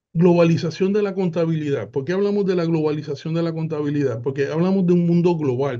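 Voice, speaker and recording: A man, presenting a webinar in an unhurried way with frequent hesitant fillers over a video call. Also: a little high, mellow, muffled recording. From Puerto Rico.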